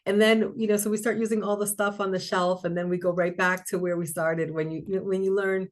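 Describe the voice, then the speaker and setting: A woman's voice: medium pitch, little pitch movement, a little fast. Chatty woman wellness coach hosting. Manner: friendly, chatty, with fillers. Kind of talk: casual interview.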